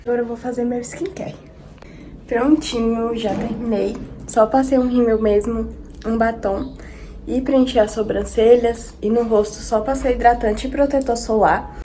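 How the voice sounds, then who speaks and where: A young woman with a hoarse voice from a cold, chatting casually and affectionately to her viewers in a vlog. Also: fairly high pitch, steady tone, moderate pace, warm tone, a little hiss or hum. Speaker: vlogger. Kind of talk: casual vlog talk to camera.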